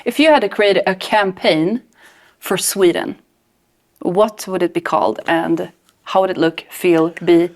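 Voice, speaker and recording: A woman's voice: on the low side, a little slow, a little mellow. A woman interviewing a guest in a playful, teasing, casual way.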